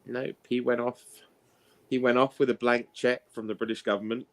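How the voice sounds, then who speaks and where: A man's voice: medium pitch, level tone, moderate pace, fairly bright tone. A man in a casual chat, telling anecdotes in a rambling, conversational way. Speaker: participant in an online book discussion.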